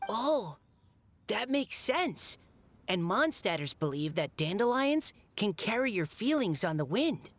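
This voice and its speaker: Easygoing, expressive young adventurer. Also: female voice, mid-range voice, unhurried. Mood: relaxed agreement. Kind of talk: video game voice acting.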